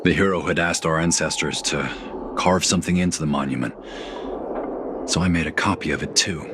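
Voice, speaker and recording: A man's voice: very deep voice, a little fast, background noise. A man playing a solemn, reverent ambassador and monument keeper in a video game.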